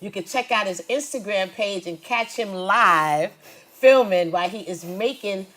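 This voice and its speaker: A woman's voice: medium pitch, unhurried, fairly bright tone. A woman hosting a local interview show and speaking to viewers. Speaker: TV show host.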